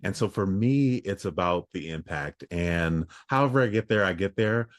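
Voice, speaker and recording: A man, a leadership coach, speaking in an earnest, measured way with frequent ums as a podcast guest. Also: deep voice, animated, dry recording, studio quality. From United States. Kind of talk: casual interview talk.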